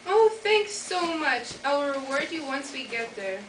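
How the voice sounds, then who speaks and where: A woman's voice: high-pitched, sing-song, measured pace, fairly bright tone, heavily acted. A teenage girl playing a wicked witch disguised as a pleading, wheedling old lady in a school fairytale play. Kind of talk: amateur school readers' theater. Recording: echoey noisy hall from far off.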